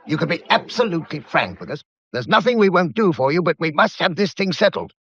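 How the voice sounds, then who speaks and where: An actor playing an earnest, accusing English gentleman in a period radio drama, pressing and clipped in an upper-class manner. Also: fairly high pitch, lively intonation, brisk, dull audio. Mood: insistent.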